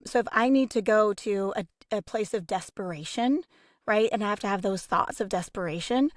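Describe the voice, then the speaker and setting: A woman's voice: mid-range voice, no room sound, studio quality. A woman explaining her approach earnestly in a casual, reflective panel discussion. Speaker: voice actor on a podcast panel.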